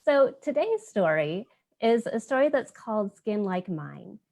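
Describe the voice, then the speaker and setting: A woman's voice: medium pitch, very animated, a little slow, gravelly, a little mellow. A woman hosting a virtual children's story time, explaining things in simple, child-friendly language.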